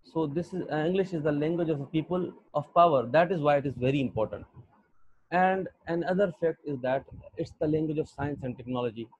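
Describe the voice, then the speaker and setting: A man's voice: on the high side, a little mellow, slightly rough, no room sound. Pakistani man teaching an online class. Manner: halting, many fillers, firm with students. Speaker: English teacher. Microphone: computer mic on a video call. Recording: dull lo-fi video call audio.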